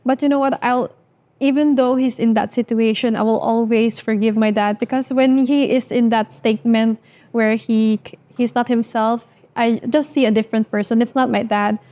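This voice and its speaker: A young woman whose first language is Danish, talking earnestly in an interview and pausing often with fillers. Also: on the high side, fairly even intonation, warm tone. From Denmark. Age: young adult. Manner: earnest, halting with frequent fillers. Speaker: interview guest. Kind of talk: personal interview.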